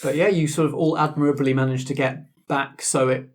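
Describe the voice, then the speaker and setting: A man's voice: mid-range voice, steady tone, fairly quick. A man talking casually as game master and host in a group discussion of a roleplaying game.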